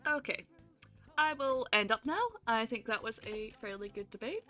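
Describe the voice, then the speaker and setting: A young woman with a Scottish accent hosts a playful online debate, laughing and teasing the guests. Her voice is bright and a little high. Faint music runs underneath. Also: moderate pace. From Scotland. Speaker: streamer hosting a debate. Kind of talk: casual hosting chat.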